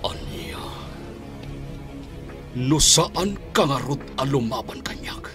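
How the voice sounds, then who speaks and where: A man's voice: mid-range voice, big pitch swings, slow pace, music in the background. An actor with a Filipino accent playing a violent, hot-tempered father who barks threats in a radio drama. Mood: furious, threatening. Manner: barking, threatening, shouting. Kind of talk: Philippine radio drama acting.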